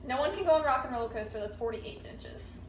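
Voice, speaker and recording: Fast-talking young American woman, echoey recording. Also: high voice, expressive. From United States. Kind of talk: casual chat. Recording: echoey room, distant mic, noticeable noise.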